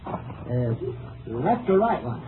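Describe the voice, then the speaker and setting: An actor in a 1950s radio drama playing a nervous, guilty American murderer, suspicious and halting. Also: male voice, medium pitch, sing-song. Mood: wary. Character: jumpy, guilty murderer cornered by a lawman. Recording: noisy, phone-like 1950s radio recording.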